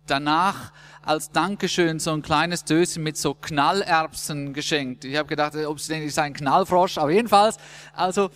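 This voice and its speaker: A man hosting a casual church interview, asking friendly, joking questions at an unhurried pace. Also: fairly high pitch, a bit bright, smooth. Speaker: interview host in church service. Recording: long reverb.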